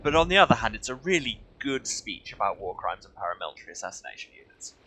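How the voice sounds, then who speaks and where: A man's voice: on the low side, very animated, fast talker, sharp tone, husky, low-quality recording. A man giving casual, wry, conversational commentary as host of a video game playthrough. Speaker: let's play host.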